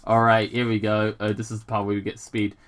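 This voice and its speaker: A person casually reading fan fiction aloud with commentary, halting and repeating words, with some swearing. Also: medium pitch, completely flat delivery. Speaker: gaming channel host reading fan fiction.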